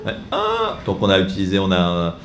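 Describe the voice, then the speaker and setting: A man's voice: deep voice, huge pitch swings, normal speed, fairly bright tone. A man giving an informal training talk to catechists, chatty and digressive with self-mocking asides while demonstrating on a computer. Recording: echoey room, faint ambience.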